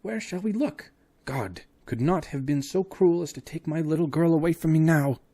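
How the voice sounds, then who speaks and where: Male reader doing a frail old professor, high and quavering, pleading. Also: normal speed, warm tone, husky. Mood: desperate.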